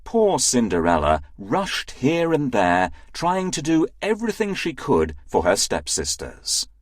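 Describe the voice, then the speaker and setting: A storyteller giving an expressive, scripted narration of a children's fairy tale. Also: medium pitch, unhurried, dry recording, clean studio sound.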